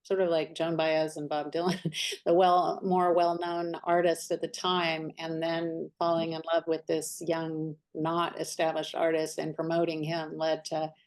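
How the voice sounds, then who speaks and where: A woman leading an art study group discussion, speaking in a measured way. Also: sits low, monotone.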